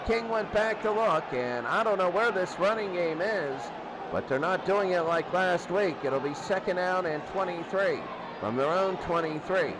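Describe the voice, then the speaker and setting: A man's voice: high voice, normal speed. A man calling football play-by-play for radio in short, clipped phrases. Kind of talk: sports radio commentary. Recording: noisy radio broadcast with crowd din. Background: stadium crowd noise.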